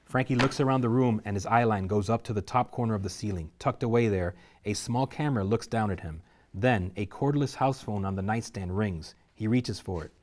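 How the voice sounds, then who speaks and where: A man reading a screenplay's stage directions aloud at a table reading, steady and vivid. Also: fairly low pitch, fairly quick.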